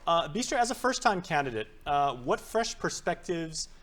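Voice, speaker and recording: A man's voice: fairly high pitch, big pitch swings, moderate pace, a bit bright. Upbeat male TV talk show host. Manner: upbeat, chatty, lots of fillers. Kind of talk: live TV talk show hosting.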